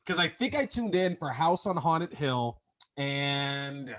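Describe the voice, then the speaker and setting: Man, thirties, podcast host, slightly high voice, dead-dry sound, loose and profane. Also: animated, slow, a bit bright, no room sound. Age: 30s. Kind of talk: casual chat.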